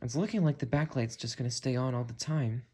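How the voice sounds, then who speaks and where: A man narrates a hands-on demonstration in a casual, unhurried way, thinking aloud as he tests things. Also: mid-range voice, mellow. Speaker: video creator demonstrating a weather radio.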